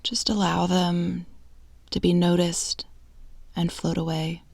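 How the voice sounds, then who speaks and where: A woman leading a guided meditation in a hushed, breathy, unhurried delivery, leaving long pauses between soothing phrases. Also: lowish voice, completely flat delivery, no echo.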